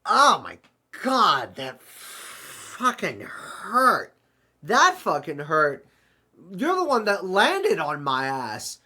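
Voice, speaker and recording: A man acts out a flustered adventurer in an audio drama. His voice is bright and forceful, his pace unhurried, and he stumbles politely over his words as an excitable, apologetic traveler. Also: sits high, full character voice. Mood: angry, pained. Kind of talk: hobby audio drama dialogue.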